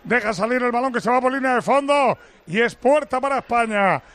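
Comentarista de fútbol agudo y enérgico. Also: male voice, extremely high pitch, brisk. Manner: lively, rising to shouts on attacking plays. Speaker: play-by-play commentator. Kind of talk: live radio football commentary.

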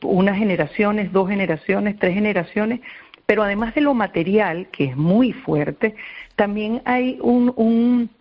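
Casting: Venezuelan female interview guest, earnest and explanatory, mid-range pitch, muffled radio-call sound. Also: a little slow. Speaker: interview guest, volunteer psychologist. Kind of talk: spontaneous radio interview answers. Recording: dull, band-limited radio call.

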